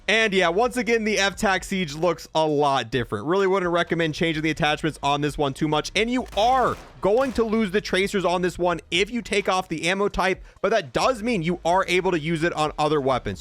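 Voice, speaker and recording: A man's voice: high voice, a bit bright. A man hosting a gaming video with casual, enthusiastic and emphatic review commentary.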